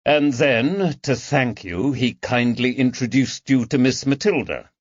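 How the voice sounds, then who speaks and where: A man's voice: medium pitch, lively intonation, unhurried, dry recording, muffled recording, pitched above the performer's own voice. A man voicing a shrewd, coldly mocking detective in an audiobook, with a dry, taunting manner. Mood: probing, sarcastic. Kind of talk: audiobook character dialogue.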